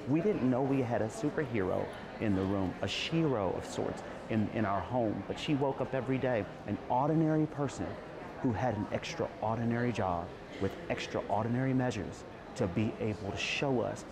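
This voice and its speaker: A man speaking earnestly as an interview guest, at times halting and repeating words. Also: fairly low pitch, crowd noise. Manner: emotional, halting restarts. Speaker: guest, trauma survivor and advocate.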